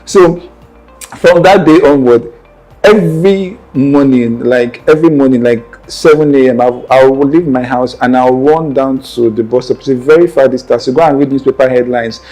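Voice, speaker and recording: A man's voice: medium pitch, normal speed, mellow, faint music behind, low-quality recording. A young man with a Nigerian accent, telling his life story as an interview guest in an earnest, meandering way that often circles back to correct himself. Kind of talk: personal storytelling in conversation.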